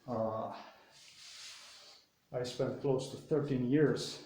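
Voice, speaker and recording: A man from Slovenia with a Slovenian accent, speaking English as a second language. He delivers an indignant political monologue, full of pauses and fillers, while doing exercise. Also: medium pitch, steady tone, dark tone, roomy sound. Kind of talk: solo vlog monologue.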